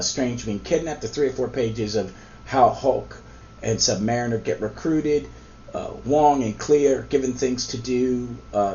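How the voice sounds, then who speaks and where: A man hosts a relaxed comic book chat, his voice a little hoarse from a cold. He wanders through his points with plenty of ums and restarts. The recording is a bit dull and lo-fi, with a faint hiss underneath. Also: mid-range voice. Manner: rambling, with frequent ums and restarts. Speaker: podcast host. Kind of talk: casual comic book review.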